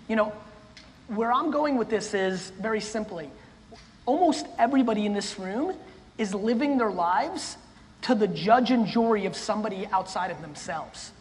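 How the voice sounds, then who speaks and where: A man's voice: sits high, animated, moderate pace. Blunt profane man from the former Soviet Union, keynote speaker on stage, long echo of a big hall, faint noise. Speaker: keynote speaker answering audience questions. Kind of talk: live audience Q&A. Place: stage of a large hall before an audience.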